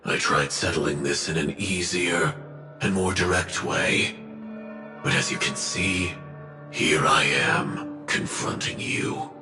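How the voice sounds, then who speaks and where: Very deep, slow, weary hunter. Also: male voice, fairly even intonation. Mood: grim, resigned. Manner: slow, halting, grave delivery. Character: weary, fatalistic male hunter bound to a script. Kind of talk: dramatic video game voice acting. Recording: echoey, music underneath. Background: music.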